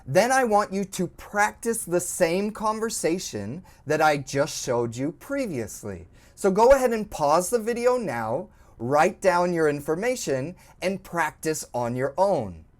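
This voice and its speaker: A woman teaching an English lesson, speaking slowly and clearly, encouraging and prompting the learner to repeat. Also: fairly low pitch, big pitch swings. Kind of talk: slow, clearly spoken language lesson.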